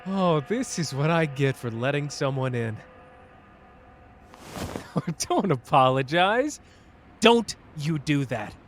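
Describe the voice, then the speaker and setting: Man acting an intense, betrayed young sorcerer, animated pitch. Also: slow pace, long pauses, a little hiss or hum. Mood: hurt, angry. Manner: emotional, pleading, swearing, addressing the listener intimately. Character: betrayed, fierce, protective young male sorcerer in love. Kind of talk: dramatic ASMR character roleplay.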